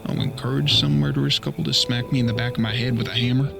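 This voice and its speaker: A man's voice: medium pitch, steady tone, fast, gravelly, music in the background, lightly acted. An American man playing a doubtful but game young apprentice bounty hunter in a western audio drama, questioning with a sardonic edge. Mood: incredulous, worried.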